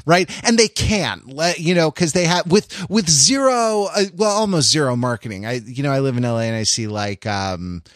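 A man's voice: on the high side, expressive, moderate pace, hardly any pauses, no room sound, clean studio sound. An American man in a casual pop culture podcast discussion, talking in a digressive, discursive way and often trailing off mid-thought. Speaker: podcast panelist.

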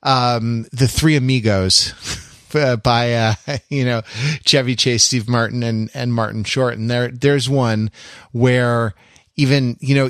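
A man's voice: mid-range voice, no room sound. Dry, wry man musing aloud. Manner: digressive, wry, thinks aloud. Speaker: podcast co-host. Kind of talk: casual pop-culture discussion.

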